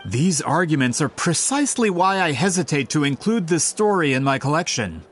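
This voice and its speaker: A man's voice: a little high, sing-song, moderate pace, fairly bright tone, slight background noise. A man voicing a polite, careful male story collector in a video game. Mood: earnest. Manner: polite, hesitant.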